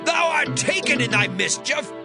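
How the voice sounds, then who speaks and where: A man playing an enraged man who hurls drawn-out, declaimed curses in a dramatized scripture reading. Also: very high voice, animated, gravelly, full character voice. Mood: furious, cursing. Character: enraged cursing man hurling abuse at a king. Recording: loud background music.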